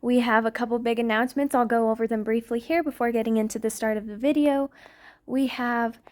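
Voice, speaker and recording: Higher-pitched woman making casual channel announcements. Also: steady tone, fairly quick, darkish tone. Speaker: ASMR channel creator.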